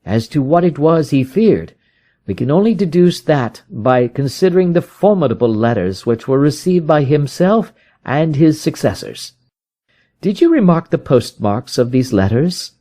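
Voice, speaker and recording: A man's voice: on the high side, very animated, normal speed, warm tone. A man reading a storybook detective who is keen, confident and methodical, reasoning aloud with emphatic, probing questions.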